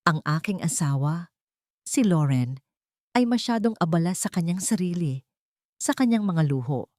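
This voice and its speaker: A woman's voice: fairly low pitch, animated, moderate pace, dark tone, dry recording, close to an everyday voice. A woman narrator plays a deceitful Filipino husband in an audiobook drama. He is self-justifying and falsely sincere, posing as the wronged man. The sound is close and dry. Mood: falsely wounded.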